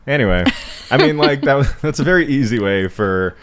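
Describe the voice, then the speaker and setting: A man's voice: medium pitch, expressive, brisk. A man co-hosting a podcast, chatting casually about books in a rambling, joking way. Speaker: podcast co-host. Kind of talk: casual book chat with a friend.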